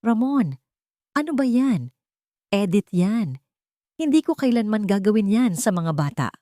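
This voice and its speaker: Woman voicing a scheming wife, higher-pitched and defiant. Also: sing-song, a little slow, dark tone, dry recording, studio quality. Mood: desperate denial. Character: scheming deceitful wife, desperate, defensive, turning threatening.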